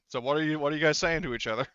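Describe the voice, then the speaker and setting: A man running a tabletop game as game master, talking casually and haltingly and swearing freely. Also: a little high, a bit bright, clear, resonant tone, no room sound, muffled recording.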